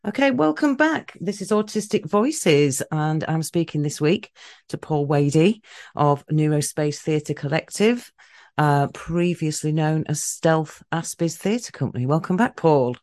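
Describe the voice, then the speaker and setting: A woman's voice: low-pitched, lively intonation, unhurried, darkish tone, no room sound. Woman radio host, chatty, lots of ums, dry close sound. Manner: chatty, with frequent fillers and restarts. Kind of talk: casual radio interview.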